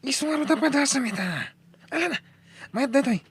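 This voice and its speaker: Man acting a sly, excitable young lover. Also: very high-pitched, brisk. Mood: coaxing, excited. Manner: wheedling, excitable. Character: sly, coaxing young lover with bad habits. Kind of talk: melodramatic audio drama acting.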